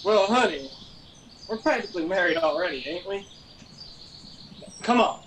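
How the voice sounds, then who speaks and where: A man's voice: sits high, expressive, normal speed, a bit bright, slight background noise. A man playing a devoted young mountain suitor with a Southern US accent in a radio drama. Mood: tender, resolute. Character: devoted young Appalachian man courting his sweetheart.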